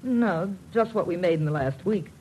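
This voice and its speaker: An actress playing a wary, streetwise young nightclub entertainer in an old radio drama, slangy and guarded, on a faintly noisy recording. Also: female voice, on the low side, animated, moderate pace, a little mellow, husky. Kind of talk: 1950s radio drama acting.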